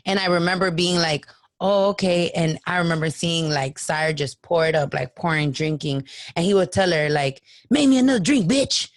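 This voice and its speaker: A raspy-voiced woman in her late twenties, a podcast guest, telling a story casually, acting out quotes and swearing freely. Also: lowish voice, normal speed, no echo, studio quality.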